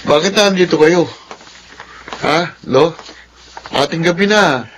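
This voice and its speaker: A man's voice: a little high, slow pace, fairly bright tone, slight background noise. A man playing a cocky, sullen teenage grandson in a Filipino radio drama. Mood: flippant, teasing.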